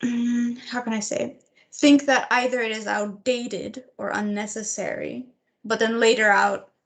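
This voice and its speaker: A woman's voice: fairly high pitch, steady tone, unhurried. A woman co-hosting a casual chat, thoughtful and sometimes searching for words. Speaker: podcast co-host.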